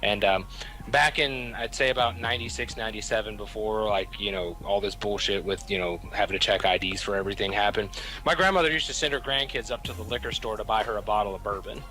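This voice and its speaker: A man's voice: fairly low pitch, fast, bright, forceful tone, poor audio. A young man with a Southern Kentucky accent telling rambling, profane stories in a casual chat, often stopping and restarting. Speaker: podcast host.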